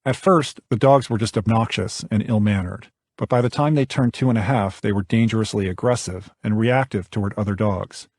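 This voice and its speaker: Low, gravelly male audiobook narrator. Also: brisk, hardly any pauses, darkish tone, no echo. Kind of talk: scripted nonfiction audiobook narration.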